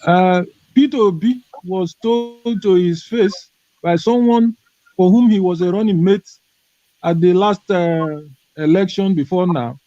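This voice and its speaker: A man with a high, mellow voice speaks with force and scorn, pressing his point on a call. The audio is dry and dull, like lo-fi call sound. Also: no room sound. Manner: forceful, scornful of religious leaders. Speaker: panelist. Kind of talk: impassioned political commentary.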